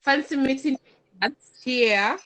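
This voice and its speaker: A woman's voice: high voice, slow, a bit bright, low-quality recording. Chatty Nigerian woman hosting casually. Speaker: podcast host. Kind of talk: casual group chat.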